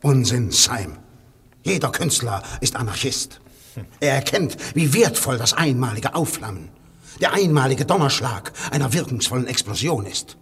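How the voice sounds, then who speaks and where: An actor playing a passionate anarchist poet in a 1950s radio play, scornful and at times hushed and menacing. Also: male voice, medium pitch, lively intonation, moderate pace, slightly rough, in a large hall, full character voice. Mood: intense. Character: fiery male anarchist poet, intense and scornful.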